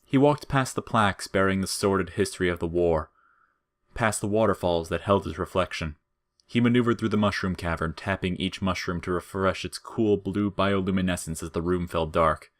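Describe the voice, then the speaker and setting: A man's voice: low voice, normal speed. A man narrating scripted audio fiction as the storyteller.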